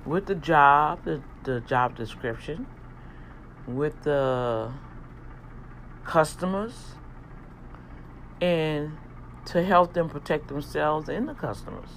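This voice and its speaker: Louisiana-accented host, unhurried, casual teaching-style monologue. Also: medium pitch, a bit bright, slight background noise. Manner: talks slowly and deliberately on purpose, in a teaching tone. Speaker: podcast host and tax office manager.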